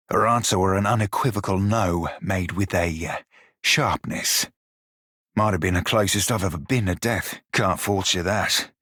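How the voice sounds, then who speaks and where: An actor playing an irreverent, gruff but soft-hearted furry pirate shiphunter in a video game, speaking in a folksy pirate dialect. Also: male voice, lowish voice, no room sound, studio quality. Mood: wry, reflective. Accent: stylized pirate dialect. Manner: colloquial, folksy, archaic sailor's turns of phrase. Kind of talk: video game character dialogue.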